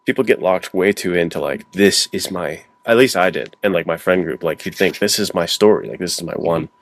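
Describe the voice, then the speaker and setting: A man's voice: sits low, a little fast. A man co-hosting a podcast in informal conversation, often acting out his thoughts as little quotes. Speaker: podcast co-host and writer. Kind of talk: casual conversational chat.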